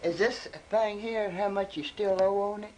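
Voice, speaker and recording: A woman's voice: mid-range voice, steady tone, normal speed, darkish tone. A woman in her seventies chatting casually with family in a folksy, joking, teasing way. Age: 70s. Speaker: grandmother being filmed at home. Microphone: camcorder microphone across the room. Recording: noisy amateur home video.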